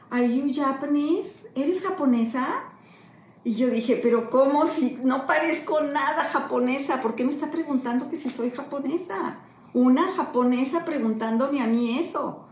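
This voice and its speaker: A middle-aged woman pastor, telling a personal testimony earnestly and slowly. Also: high-pitched, darkish tone, a little hiss or hum. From Mexico. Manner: earnest storytelling, slow and emotional. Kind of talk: sermon-style testimony. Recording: echoey room, faint ambience.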